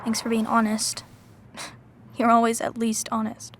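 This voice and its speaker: A woman's voice: fairly high pitch, completely flat delivery, a little slow, fairly bright tone. A woman playing a grieving, candid young woman in a video game, earnest and hesitant. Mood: hurt, candid. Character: grieving young woman, candid, insistent, doubting her father's death. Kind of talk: naturalistic video game acting.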